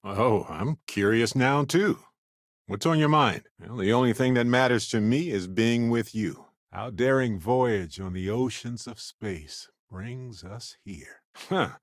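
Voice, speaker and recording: An actor playing a witty, adventurous male space explorer companion in a video game, speaking in an expressive, conversational way. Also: medium pitch, unhurried, no echo, clean studio sound, lightly acted. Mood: tender, heartfelt.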